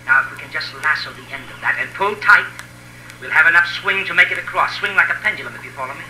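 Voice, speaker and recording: Sharp-voiced treacherous tough guy, old radio. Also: a little high, quick pace. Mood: wheedling. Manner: slangy tough-guy talk. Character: cocky, scheming, treacherous tough-guy adventurer, wheedling and mocking. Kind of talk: 1950s radio acting. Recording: echoey, noisy old radio recording.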